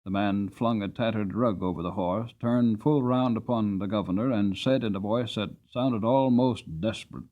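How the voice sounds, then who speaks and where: A man's voice: on the low side, normal speed, hardly any pauses, narrow, tinny audio. A man reading a classic novel aloud as an audiobook narrator, in a dramatic storytelling style.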